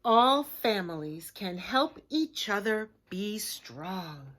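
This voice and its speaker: A woman reading a children's picture book aloud like a teacher, in a deliberate, expressive way, with hushed chatty asides to her listeners. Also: medium pitch. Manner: slow, expressive, simple deliberate phrasing.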